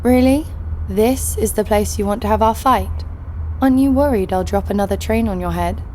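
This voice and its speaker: A woman's voice: mid-range voice, moderate pace, a little mellow, slight background noise, close to an everyday voice. A woman playing a fearless, sardonic bounty hunter who tells her own story with dry, snarky delivery in an audio drama. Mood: defiant taunting. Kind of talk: scripted first-person audio drama narration. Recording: music under the voice.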